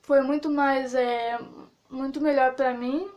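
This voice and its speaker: A woman's voice: sits high, fairly even intonation, slow, highs cut off. A young woman giving her opinion in a casual vlog, rambling with many fillers and restarts. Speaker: vlogger.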